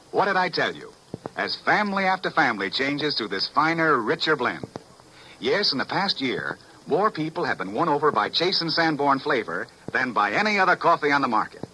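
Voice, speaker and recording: A bright, forceful American man comes through a faintly noisy 1940s radio recording. He reads an emphatic commercial, his medium-pitched voice rising and falling in an animated way. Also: normal speed. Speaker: commercial announcer.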